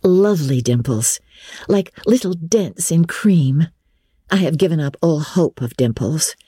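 A woman's voice: low voice, lively intonation, a little slow, mellow, husky, no echo, pitched above the performer's own voice, heavily acted. Woman playing an earnest, dreamy teenage girl, lilting. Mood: wistful, affectionate. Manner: earnest, wistful.

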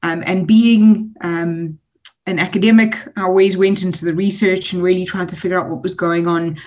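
A woman in her forties, a guest author answering interview questions in an articulate, measured way with frequent ums. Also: lowish voice, steady tone. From South Africa.

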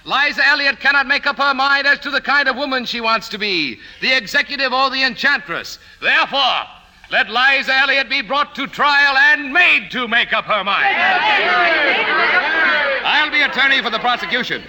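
Shrill, pompous circus ringmaster. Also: male voice, normal speed, sharp tone, heavily acted, poor audio. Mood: accusing, pompous. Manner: declamatory, accusing. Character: pompous ringmaster prosecutor in a dream circus trial. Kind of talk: 1950s radio acting.

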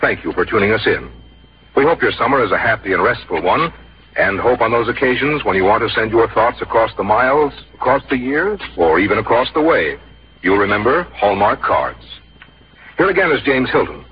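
A man announcing in polished, measured 1950s radio style, reading a sponsor's message and sign-off. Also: fairly low pitch, sing-song, husky. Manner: polished, measured sales delivery. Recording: muffled vintage radio, loud orchestra behind.

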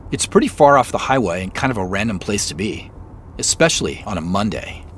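An actor playing an inquisitive, slightly sheepish amateur sleuth in a naturalistic audio drama, talking in an easygoing, conversational way. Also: male voice, fairly low pitch, very animated, a little fast. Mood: puzzled, earnest. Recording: faint vehicle noise behind.

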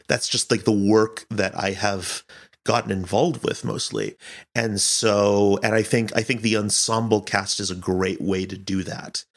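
Male interviewee, lower voice, unhurried and halting, reflective conversational delivery. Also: vocal fry. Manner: halting, thinking aloud in broken phrases. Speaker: podcast director and producer being interviewed.

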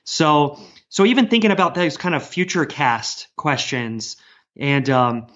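Man, fairly high voice, earnest, discursive, podcast guest, casual conversation, muffled lo-fi audio. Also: sing-song, a little slow, a bit bright. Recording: dull, lo-fi sound.

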